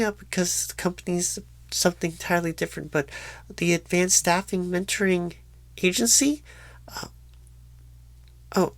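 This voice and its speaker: A woman acts out a bashful rookie job recruiter in an ASMR roleplay. The delivery is very slow and halting, full of nervous stammers and apologies. The voice sits a little low, in a very dry recording. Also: no room sound. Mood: awkward, earnest.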